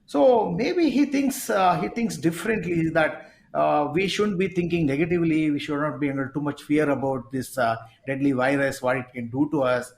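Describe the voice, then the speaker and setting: A man with an Indian English accent, hosting a sports talk show and speaking haltingly with many fillers. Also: fairly high pitch, creaky. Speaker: talk show host, sports psychology coach. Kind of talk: conversational interview talk. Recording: roomy echo.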